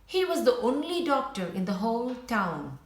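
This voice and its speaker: A woman reading a children's storybook aloud in a simple, deliberate way, as if to young listeners. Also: fairly high pitch, sing-song, roomy sound. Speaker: children's book read-aloud narrator. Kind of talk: storybook read-aloud with brief asides to viewers.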